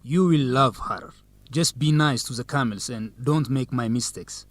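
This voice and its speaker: A man's voice: mid-range voice, moderate pace, no echo, natural voice. Charming young man played by an actor, dry sound. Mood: courting. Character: Zegai, charming young Ethiopian man courting on a date. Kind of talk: radio drama acting.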